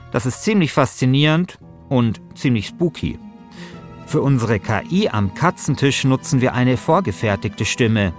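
Wry male podcast host, faint music. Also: medium pitch. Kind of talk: scripted narration and casual conversation.

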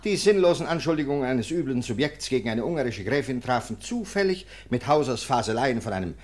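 An actor in a German radio play playing a sneering, sceptical nineteenth-century police lieutenant with a clipped, officious manner. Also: male voice, mid-range voice, animated, a little fast, close to an everyday voice. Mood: scornful, assured.

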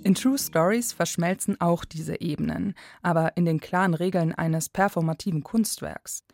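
Low-pitched woman narrating, dry sound. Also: normal speed, gravelly, darkish tone, no room sound, faint music behind. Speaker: radio reporter. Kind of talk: scripted radio feature narration.

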